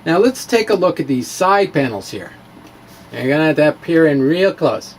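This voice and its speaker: A man giving a dramatic reading of a science blog, halting and theatrical, with informal wry asides. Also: on the high side, lively intonation, slight background noise. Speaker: physics blogger.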